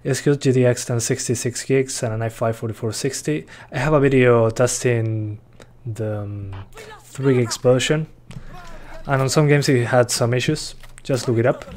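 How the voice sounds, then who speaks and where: A man's voice: mid-range voice, fairly even intonation, normal speed. A young man from Uruguay, chatting casually while streaming a game and reading chat aloud. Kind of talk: live gameplay commentary. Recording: faint gunfire behind voice.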